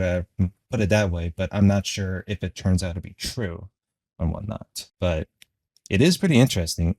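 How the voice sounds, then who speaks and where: A man's voice: deep voice, darkish tone, no echo, clean studio sound. A man giving casual, unscripted video commentary in a rambling, hesitant way.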